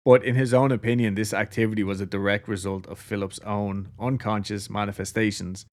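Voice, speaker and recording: A man's voice: fairly low pitch, level tone, brisk. A man with an Irish accent casually telling a story to a co-host, laughing often and swearing freely. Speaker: podcast co-host.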